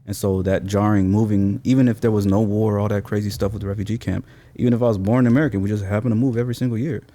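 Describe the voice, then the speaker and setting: Casting: thirties male interview guest, very low and soft-toned, reflective, informal phrasing. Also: steady tone, fast talker. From Seattle, born in Somalia. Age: 30s. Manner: thoughtful, informal slangy phrasing. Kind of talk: reflective casual interview talk.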